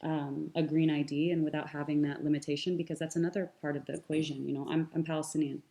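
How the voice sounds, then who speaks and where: A woman's voice: low-pitched, level tone, quick pace, gravelly, dark tone. A young woman from the United States, speaking as a guest in an earnest, thoughtful panel conversation, with frequent fillers and restarts.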